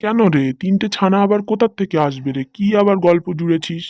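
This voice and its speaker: Man as a hungry, bossy high-voiced wolf. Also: quick pace, darkish tone, dry recording, muffled recording, lightly acted. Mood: menacing, gullible. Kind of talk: cartoon voice acting.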